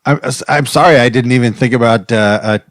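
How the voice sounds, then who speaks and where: A man's voice: mid-range voice, moderate pace, dry recording, clean studio sound. A man hosting an improvised comedy podcast, chatting in a rambling, hesitant way that often trails off. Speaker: podcast host.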